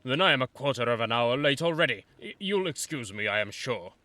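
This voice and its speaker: A man's voice: medium pitch, lively intonation, brisk, bright, forceful tone, no room sound. A man voicing a nervous, evasive young male student who stammers and hurries his words.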